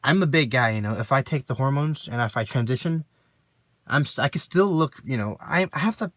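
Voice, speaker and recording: A man with a deep voice at a middle pitch, speaking in a loose, personal vlog monologue. He rambles, circles back on his points and scatters "you know" through nearly every sentence. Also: expressive, fairly quick, a bit bright, no echo. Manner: rambling, with constant "you know" fillers and repeats. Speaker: vlogger.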